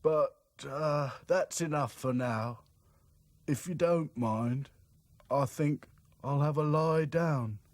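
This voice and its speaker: A man's voice: fairly high pitch, a little mellow, no echo, clean studio sound, voice pushed up. A man voicing a gloomy, weary male rag doll who sighs and groans as he talks. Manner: slow, sighing, groaning delivery. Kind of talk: storybook narration of a cartoon.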